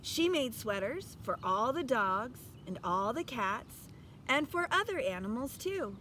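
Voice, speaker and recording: A woman reads a children's story aloud in a high, expressive voice with clear background noise. She takes her time and turns to young listeners with teacherly questions. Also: unhurried. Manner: expressive, instructive.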